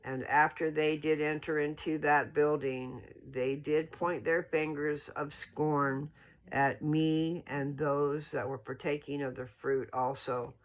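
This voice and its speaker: A person with a creaky, gravelly voice reads and explains scripture in an informal, folksy, rambling way, often checking in with the listener. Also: medium pitch, flat delivery, slow, poor audio. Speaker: host reading scripture aloud and explaining it. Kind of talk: scripture read aloud with casual, rambling commentary.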